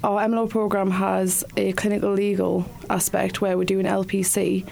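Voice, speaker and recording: A woman's voice: medium pitch, level tone, normal speed, vocal fry, a little mellow. A woman in her twenties from Newcastle with a Geordie accent, a law student answering interview questions in a friendly, easygoing way. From Newcastle, England. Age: 20s. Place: radio studio.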